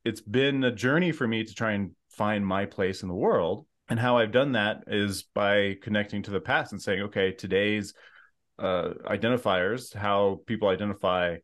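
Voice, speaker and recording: A man in his thirties, a novelist who grew up between the US and France, chatting animatedly with self-deprecating humor from a home studio. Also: on the low side. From United States and France. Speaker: historical fiction author. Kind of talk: casual chat. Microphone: large studio mic with pop filter.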